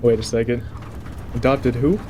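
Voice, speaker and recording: A man's voice: medium pitch, steady tone, normal speed, mellow. A man playing a tabletop game, improvising his lines in a casual, conversational way. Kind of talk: casual improvised roleplay chat. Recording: noisy background ambience.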